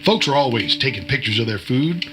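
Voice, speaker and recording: A man's voice: medium pitch, expressive, fairly quick, a bit bright, faint music behind, close to an everyday voice. A man playing a cagey, wisecracking veteran insider in an audio drama, with a folksy, chiding, cryptic manner. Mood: sardonic, teasing. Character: cagey, wisecracking male veteran insider and short-order cook.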